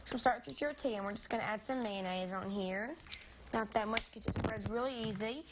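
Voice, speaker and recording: A woman's voice: a little high, brisk. A teenage girl, chatting through a cooking demonstration on a studio kitchen set, upbeat and sometimes losing her words. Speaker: kid cooking segment presenter. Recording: slightly dull TV audio with faint noise.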